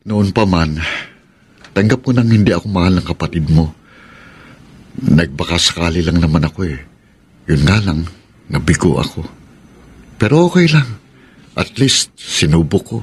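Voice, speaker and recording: An actor playing a heartbroken, rejected gentleman suitor in a Filipino radio drama, resigned and earnest in a melodramatic way. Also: sits low, animated, normal speed, long pauses, breathy edge, full character voice. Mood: resigned, hurt.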